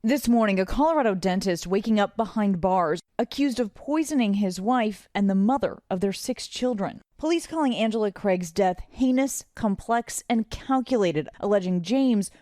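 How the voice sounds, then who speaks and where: Mellow woman news anchor. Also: mid-range voice, animated, dry recording, studio quality. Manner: measured, formal delivery. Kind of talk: news read.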